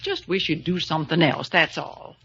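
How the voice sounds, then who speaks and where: An actress playing a blunt, grumbling but loyal newspaper woman in an old radio drama, with a raspy, tart delivery. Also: sits low, moderate pace, fairly bright tone, exaggerated voice. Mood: tart, reluctant. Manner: tart, exasperated. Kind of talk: old-time radio acting. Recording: dull lo-fi old radio recording.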